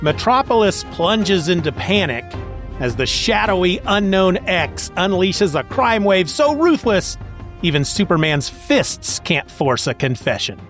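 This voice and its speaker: Higher-voiced man hosting a podcast. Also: very animated, a little slow, fairly bright tone, soft background music. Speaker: podcast host. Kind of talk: casual chat and scripted show promos.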